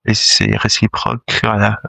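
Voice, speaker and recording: A man's voice: on the low side, completely flat delivery, unhurried, gravelly, sharp tone, dry recording. A man who speaks French as his second language, a choir director talking in a casual interview, hesitant with many fillers and restarts. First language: not French; French is his second language.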